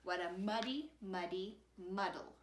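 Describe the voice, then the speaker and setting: A woman's voice: medium pitch, a little slow, roomy sound, slight background noise. A woman narrating a rhyming children's story in a playful, rhythmic singsong. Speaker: storybook reader.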